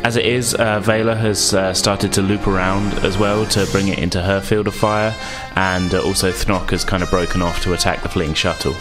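A man's voice: low-pitched, flat delivery, normal speed, few pauses. A man running a tabletop role-playing game as game master, describing the action in a conversational way. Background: music.

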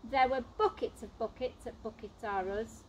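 A woman's voice: on the high side, moderate pace, a little hiss or hum, poor audio. A woman reading a children's storybook aloud expressively, in the manner of a teacher at storytime.

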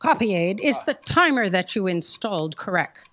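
A woman's voice: medium pitch, sing-song, normal speed, a bit bright. A woman playing an impatient, imperious editor boss in a staged comedy audio drama, with a dry, commanding delivery. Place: theatre stage. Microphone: stand microphone.

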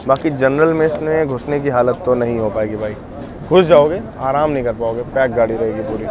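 A man's voice: mid-range voice, fairly quick, a crowd in the background. A young man in casual travel-vlog talk, chatting straight to his viewers while filming on a phone. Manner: chatty, addresses viewers directly, drops to a hush at night in the coach. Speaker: train travel vlogger. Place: railway platform at night, then inside a sleeper coach. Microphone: phone camera held at arm's length. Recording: clear outdoor station noise behind.

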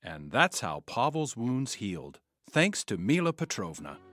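An earnest village assistant telling a tale in an audio drama. His pitch moves freely over a middle register, in a dry recording with faint music. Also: sing-song, measured pace, a bit bright, no echo. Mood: solemn storytelling. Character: village doctor's assistant narrating a tale, anxious when alarmed. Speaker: narrator and actor.

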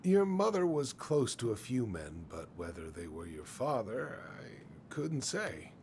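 A middle-aged small-town shopkeeper in a game, flustered and evasive. He fumbles along in a mid-pitched voice that swings a lot, with faint noise behind. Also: very animated, a little slow, a little mellow. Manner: hesitant, fumbling. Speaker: shop boss. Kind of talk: video game voice acting.

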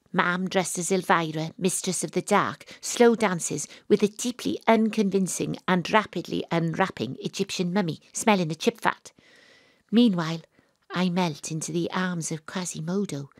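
A woman's voice: fairly low pitch, normal speed, no echo, studio quality. An actress playing an earnest, emotional teenage girl in an audio drama. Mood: wistful. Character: earnest teenage girl narrating her ghostly adventure, emotional. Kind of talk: audio drama monologue and dialogue.

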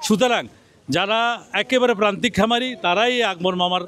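High-voiced male market reporter. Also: normal speed, fairly bright tone. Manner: persuasive, addresses viewers directly. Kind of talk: on-location promotional interview. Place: outdoor livestock market under a bamboo shed. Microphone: handheld mic.

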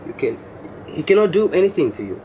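A man's voice: sits high, big pitch swings, fast, warm tone, a little hiss or hum. A man in an interview, speaking emphatically and with growing force.

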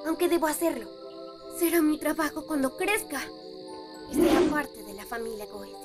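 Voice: sits high, expressive, moderate pace. A performer with a Latin American Spanish accent voicing a bookish, dutiful young owl demon prince in a cartoon fan dub. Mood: dutiful, unsure. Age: child character. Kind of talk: animated fan-dub acting. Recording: music under the voice.

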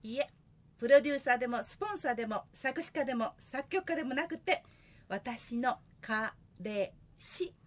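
A woman's voice: high-pitched, lively intonation, moderate pace. A young woman playing an eager, cheeky singer daughter in a comic radio drama. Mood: bantering.

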